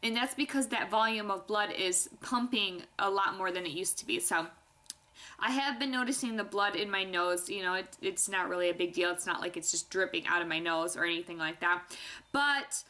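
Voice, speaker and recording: A woman's voice: mid-range voice, normal speed, bright, forceful tone. A young woman chatting candidly to her viewers in a casual vlog, a little stuffy-nosed. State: stuffy nose. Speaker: vlogger. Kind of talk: casual pregnancy vlog chat.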